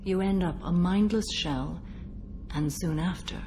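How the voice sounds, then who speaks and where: An actress playing a stoic, centuries-old alien warrior woman bound by a code in a video game, speaking in a measured, formal, solemn manner. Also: fairly low pitch, darkish tone, slight background noise, close to an everyday voice. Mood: solemn, stern. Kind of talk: video game dialogue acting.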